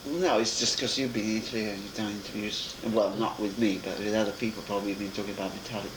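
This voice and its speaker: A man interviewing a musician casually, halting with restarts and trailing sentences. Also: on the low side, steady tone. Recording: echoey room, noisy old tape.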